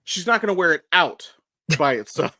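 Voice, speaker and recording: A man's voice: on the high side, lively intonation, a little slow, sharp tone, dry recording. An American man, maybe in his thirties, co-hosting a podcast in a rambling, informal, sweary way with frequent self-corrections. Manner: profane, informal, stop-start with self-corrections. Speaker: podcast co-host. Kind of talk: casual rambling podcast chat.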